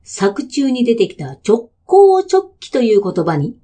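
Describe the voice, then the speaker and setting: A woman's voice: fairly high pitch, wildly swooping pitch, unhurried, warm tone, slightly rough, no echo. A woman hosting an online radio show with casual, teasing, giggly banter.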